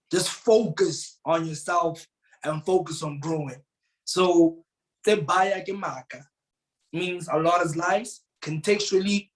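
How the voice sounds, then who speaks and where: A man's voice: fairly high pitch, rough recording. Man from South Africa, Tswana speaker, earnest, motivational, emphatic. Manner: earnest, repeats words, rises to emphatic bursts. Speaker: guest speaker. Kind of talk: motivational storytelling.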